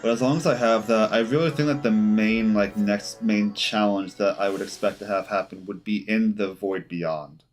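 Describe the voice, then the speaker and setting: A man doing casual live game commentary, thinking aloud in a halting, self-correcting way as he talks to his chat. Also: fairly low pitch, steady tone. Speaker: game streamer. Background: game music.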